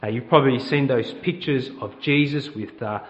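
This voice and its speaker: A man's voice: mid-range voice, moderate pace, lo-fi sound. A middle-aged man with an Australian accent, preaching a conversational sermon in church and asking the congregation questions. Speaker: pastor.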